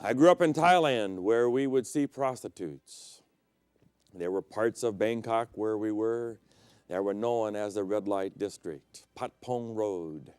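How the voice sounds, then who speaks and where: A middle-aged man preaches with a smooth, resonant voice, recorded dry with no room sound. He tells personal stories as a preacher would. His delivery rises to loud, emphatic peaks and drops into hushed, low stretches. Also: no echo. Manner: swings between loud emphatic peaks and quiet low passages; storytelling preacher, personal anecdotes. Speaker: pastor. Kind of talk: sermon.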